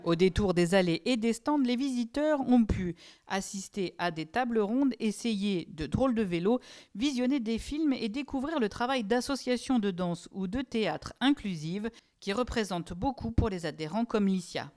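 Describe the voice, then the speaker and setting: A woman's voice: mid-range voice, animated, moderate pace, a little mellow. A woman reading a scripted voice-over for a local news report, as a reporter.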